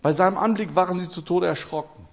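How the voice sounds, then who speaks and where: A man from Portugal preaching a sermon with passion, repeating his phrases and swinging between loud and quiet. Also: high-pitched, quick pace, creaky.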